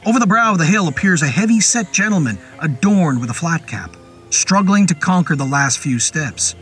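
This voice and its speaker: Male narrator, slightly high voice, measured horror storytelling, descriptive, music underneath. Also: expressive, a bit bright.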